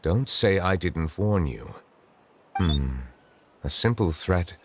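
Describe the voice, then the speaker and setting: Deep-voiced, dignified magistrate. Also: male voice, animated, a little hiss or hum. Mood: grave, analytical. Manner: measured, formal, grave. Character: dignified, formal, reserved male magistrate, literal-minded. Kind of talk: anime-style video game voice acting.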